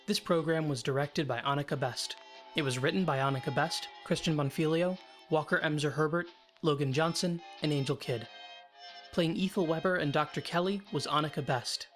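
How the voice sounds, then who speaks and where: Low-pitched woman reading credits over music. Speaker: credits announcer.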